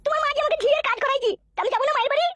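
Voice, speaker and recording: A woman's voice: extremely high pitch, racing, fairly bright tone. A woman voicing a stubborn, complaining village woman in a comic cartoon, arguing defiantly.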